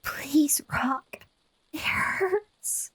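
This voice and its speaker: A woman's voice: high-pitched, very slow, a bit bright, whispered, full character voice. A wounded captive woman narrating horror. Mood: pleading, weak, frightened. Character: captive, wounded woman recounting her obsessive lover's abuse. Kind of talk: first-person horror radio drama narration with acted dialogue.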